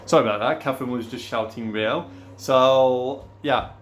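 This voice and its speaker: A man, hoarse from losing his voice, talking casually and at length to his viewers as a gaming YouTuber. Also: mid-range voice, normal speed, a little hiss or hum. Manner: rambling, repeats "obviously" and restarts often. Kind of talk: casual rambling vlog talk.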